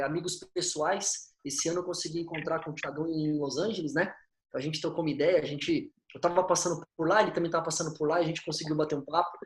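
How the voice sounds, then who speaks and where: A man from Brazil, introducing a discussion in a friendly, explanatory way. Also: fairly high pitch. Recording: roomy echoing room.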